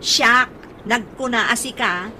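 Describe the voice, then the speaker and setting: A woman playing a vain, fussy mother with a sharp temper and an Ilocano accent in a radio comedy drama. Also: fairly high pitch, slow pace, full character voice. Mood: proud, bantering. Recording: noisy radio drama recording, ambient sounds.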